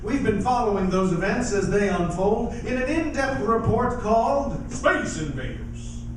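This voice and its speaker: Actor as male radio-play newsreader, measured and solemn, high for a man, distant echoing hall, music swelling behind. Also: animated, background noise. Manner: measured, announcer-like delivery. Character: solemn male radio news anchor reporting alien invasion. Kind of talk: live radio play newscast, scripted. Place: live on stage in a performing arts hall. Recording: echoey hall, far mic, loud music behind.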